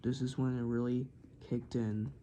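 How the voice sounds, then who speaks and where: Male, mid-pitched, amateur mock-broadcast announcer; halting, casual delivery with self-corrections. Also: little pitch movement, mellow, a little hiss or hum. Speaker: narrator of a homemade emergency alert scenario.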